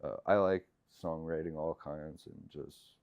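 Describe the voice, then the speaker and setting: A man with a very deep, low voice chats casually as a guest in an interview. He talks in halting bursts, leaning on fillers and letting his thoughts trail off. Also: fairly even intonation, gravelly, dry recording, dull audio. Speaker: musician guest.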